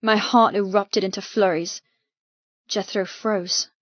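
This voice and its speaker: Female audiobook narrator, mid-pitched, expressive and deliberate with dramatic intensity; close, dry, slightly muffled recording. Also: no echo. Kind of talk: dramatic first-person fiction narration. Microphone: close mic in dry room.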